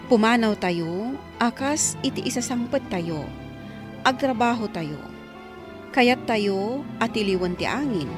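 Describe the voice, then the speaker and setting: A woman hosting a radio programme, giving a scripted introduction to the listeners. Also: mid-range voice.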